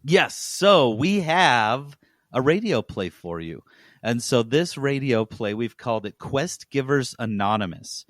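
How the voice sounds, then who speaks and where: A man hosts a casual podcast with enthusiastic, emphatic delivery. His voice sits at an average pitch, and the recording is dry with very little room sound. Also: lively intonation, unhurried, no echo. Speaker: podcast host. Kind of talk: casual chat.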